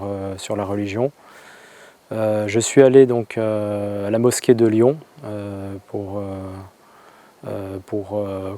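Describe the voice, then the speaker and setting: A man from France recounts his own story in a lowish, mellow voice. He talks in a flat, even tone and keeps stopping to restart his sentences. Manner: halting, with many hesitations and restarts. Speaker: convert telling his story. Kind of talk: personal testimony.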